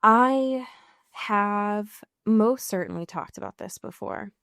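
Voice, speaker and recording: A woman's voice: mid-range voice, slow, gravelly, fairly bright tone, dry recording. Female podcast co-host, mid-range voice, conversational and informal, dry recording. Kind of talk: casual podcast chat.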